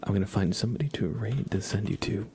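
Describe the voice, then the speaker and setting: A man speaking in a soft, breathy half-whisper, gently and unhurriedly, as an ASMR livestream host close to the microphone. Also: fairly low pitch, fast talker, noisy background.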